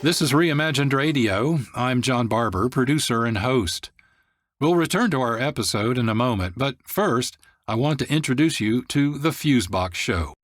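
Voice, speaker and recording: A man's voice: medium pitch, animated, normal speed, dry recording, faint music behind. A man presenting a radio show as host from a script. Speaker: producer and host. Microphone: close, dry studio microphone.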